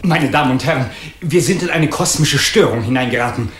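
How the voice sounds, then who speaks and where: A man's voice: mid-range voice, brisk, fairly bright tone, slight background noise, natural voice. A man playing an urgent, official announcer in a 1940s radio play. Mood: urgent, formal. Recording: echoey 1940s radio recording.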